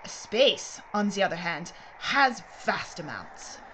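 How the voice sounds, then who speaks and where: An actress playing a brisk, secretive mining executive in an audio drama, speaking in a precise, formal way. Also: female voice, mid-range voice, big pitch swings, fairly bright tone, rough recording. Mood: brisk, explanatory. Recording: clear background noise and ambience.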